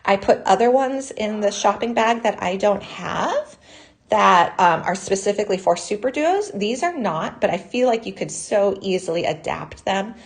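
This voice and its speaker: A woman's voice: mid-range voice, lively intonation, normal speed. Sniffly woman hosting a live craft stream. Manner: chatty, greets viewers by name.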